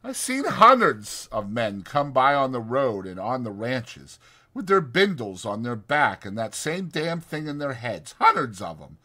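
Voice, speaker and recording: Man acting a high-pitched, bitter, lonely stable hand. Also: big pitch swings, unhurried, sharp tone. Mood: scornful. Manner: rural folksy speech, brooding, emphatic. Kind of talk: dramatized audiobook character acting.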